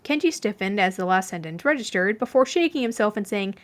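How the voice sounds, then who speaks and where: A woman's voice: mid-range voice, expressive, a little fast. A woman narrates a piece of fan fiction in audiobook style. She tells the story as a podfic reader and acts out its dialogue.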